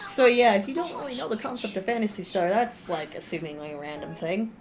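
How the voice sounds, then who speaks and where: A woman's voice: mid-range voice, brisk, a little hiss or hum. A woman streamer giving playful, theatrical reaction commentary, slipping into jokey mock dubbing of game cutscenes. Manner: jokey, theatrical, slips into put-on lines. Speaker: streamer hosting a watch-along with a friend. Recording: music behind the voice.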